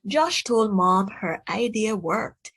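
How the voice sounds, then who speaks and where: A woman reading a children's storybook aloud in a deliberate, expressive way, speaking directly to young listeners. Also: mid-range voice, slightly rough.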